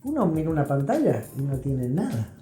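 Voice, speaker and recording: A man's voice: a little high, big pitch swings, fairly quick, dark tone. An Argentine man speaking as an interview guest, telling and explaining things reflectively, with emphasis and short check-in questions. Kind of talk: reflective conversational storytelling and explanation. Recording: roomy echo, faint music.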